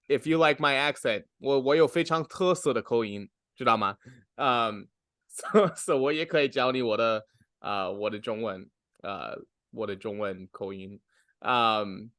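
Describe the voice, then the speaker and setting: A young man talks things through slowly in a relaxed interview, searching for his words and starting over often. His voice sits at an ordinary middle pitch. The sound is very dry and close. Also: clear, resonant tone, dry recording. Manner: reflective, halting, full of fillers and restarts. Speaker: comedian. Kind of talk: casual interview.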